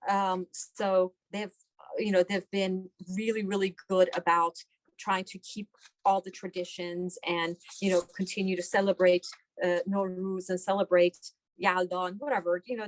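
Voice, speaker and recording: A woman's voice: fairly low pitch, level tone, moderate pace, no echo, low-quality recording. Middle-aged woman, doctor interviewee, conversational, explanatory, repeats phrases for emphasis, dry sound.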